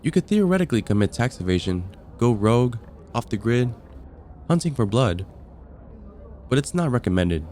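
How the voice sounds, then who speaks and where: A man playing a friendly, patient vampire caseworker in an ASMR roleplay, explaining things calmly with gentle dry wit. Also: mid-range voice, moderate pace, close to an everyday voice. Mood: matter-of-fact, wry. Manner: calm, explanatory, gently wry. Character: friendly, patient vampire caseworker onboarding a newcomer, dryly amused. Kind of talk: ASMR roleplay, conversational acting.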